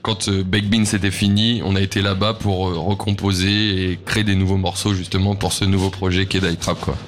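Young man, loose and relaxed. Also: deep voice, brisk. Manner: relaxed, loose, lots of fillers. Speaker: band member. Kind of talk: casual interview answers.

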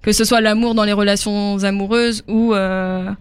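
Smooth-voiced young woman singer, halting. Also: mid-range voice, steady tone, dry recording. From Africa. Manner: hesitant, many fillers, laughs easily. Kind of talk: casual interview answers.

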